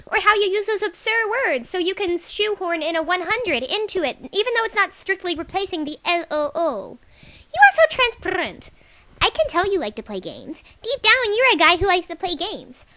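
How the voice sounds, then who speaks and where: A woman's voice: very high-pitched, pushed and bright, full character voice. A woman voicing a playful, stubborn young cat-like alien girl, pouty and insistent, in a character reel for a webcomic.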